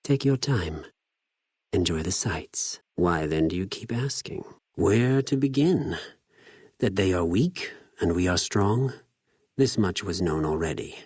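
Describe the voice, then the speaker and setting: Cold male infiltrator, deep register, close dry sound, precise formal diction, calculating, menacing, game character. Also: animated, a little slow, a little mellow, slightly rough, dry recording, heavily acted. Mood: calm, condescending. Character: cold, cunning male spy of a Roman-styled slaver army. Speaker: video game voice actor. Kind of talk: scripted video game character dialogue.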